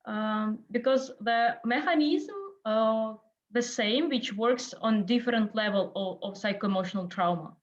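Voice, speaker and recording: A woman whose first language is Russian gives a lecture over a video call. She speaks haltingly, fills gaps with uh and um, and searches for her words. The call audio sounds dull and lo-fi. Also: fairly high pitch. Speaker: guest lecturer. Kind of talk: online lecture in a second language.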